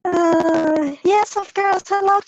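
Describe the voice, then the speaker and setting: A woman's voice: very high voice, fairly even intonation, slow pace, no echo. A woman speaking English as a second language, hesitantly giving her opinion on a video call and searching for words. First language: not English. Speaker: book club participant. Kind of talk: halting discussion in a second language. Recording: dull video call audio.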